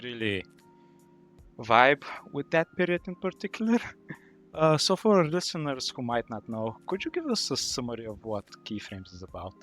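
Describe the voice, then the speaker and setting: A man's voice: medium pitch, big pitch swings, moderate pace, fairly bright tone, soft background music. A man co-hosting a podcast interview. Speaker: podcast host.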